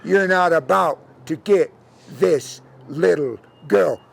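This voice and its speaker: Man as a slow, creaky, faithful cow. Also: a little high, heavily acted. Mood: protective warning. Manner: slow, drawn-out speech. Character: faithful, protective cow, friend to a child. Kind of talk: live oral storytelling.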